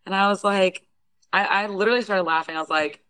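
A young woman from Houston. She is a singer being interviewed, and she tells a story in a lively way, acting out what other people said. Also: mid-range voice, fast, clean tone. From Houston, Texas. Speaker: guest singer.

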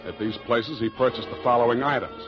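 A male actor plays a wry, tough private eye from 1940s radio. He speaks in a mid-range voice with clipped, dryly comic sarcasm. The old recording is dull, with music clearly behind him. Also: medium pitch, fairly quick, close to an everyday voice. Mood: deadpan narration. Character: wry, hard-boiled male private detective. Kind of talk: 1940s radio acting, first-person detective narration.

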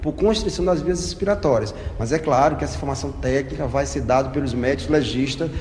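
A male police chief speaking with measured, hesitant official phrasing. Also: mid-range voice, a little mellow. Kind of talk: interview soundbite. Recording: roomy reverberant office, faint noise.

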